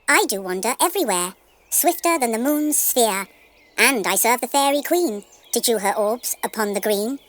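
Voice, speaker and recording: A high, bright-edged forest sprite, acted by a woman, nimble and mischievous. The verse is declaimed with a strong rhythm, in the theatrical manner of Shakespearean radio drama. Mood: lilting, airy.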